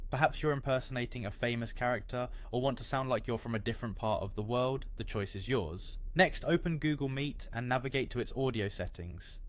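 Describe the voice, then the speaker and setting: A man reading a scripted how-to tutorial in a neatly phrased, instructional way. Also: mid-range voice. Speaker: tutorial narrator.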